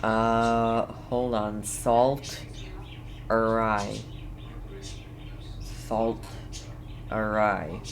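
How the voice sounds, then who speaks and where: A man streaming a video game with casual, playful commentary. He talks slowly and haltingly, often muttering, while game ambience is clearly audible behind him. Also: fairly low pitch, level tone, slight background noise.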